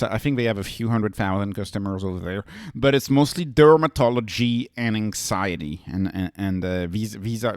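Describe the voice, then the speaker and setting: A man giving unscripted solo commentary as a host, halting and often repeating words as he restarts his phrases. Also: mid-range voice, no room sound, clean studio sound.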